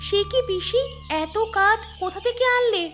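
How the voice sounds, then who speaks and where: A woman's voice: extremely high pitch, measured pace, fairly bright tone, soft background music. A woman voicing an eager, dutiful young village daughter in a cartoon, with an exclamatory way of talking. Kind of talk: cartoon dubbing.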